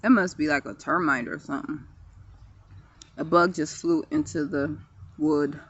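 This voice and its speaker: A woman in her late thirties chatting casually to viewers in a vlog, laughing often, recorded outdoors on her phone in a quiet park. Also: low voice, steady tone, measured pace, slightly rough, dull audio. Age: 30s. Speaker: vlogger.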